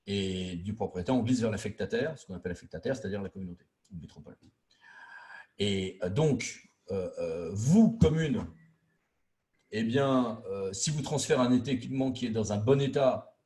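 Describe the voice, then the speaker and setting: A man speaks as a trainer in an informal lecture. His voice sits at a medium pitch. He explains things didactically in colloquial language and leans on key words for emphasis. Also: a little mellow. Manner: colloquial and didactic, acting out imagined quotes. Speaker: trainer lecturing local officials on intermunicipal law.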